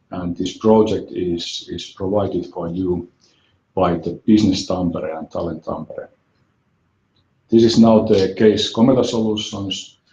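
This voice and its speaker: A man hosting a webinar, speaking haltingly with repeated words and pauses. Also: low voice, mellow, lo-fi sound. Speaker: webinar host, software company representative. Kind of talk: webinar presentation. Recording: echoey room, faint music.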